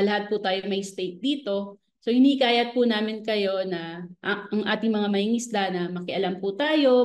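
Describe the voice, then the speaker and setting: A woman with a Filipino accent speaking as an expert guest in a radio interview, politely explaining and mixing Filipino with English. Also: mid-range voice.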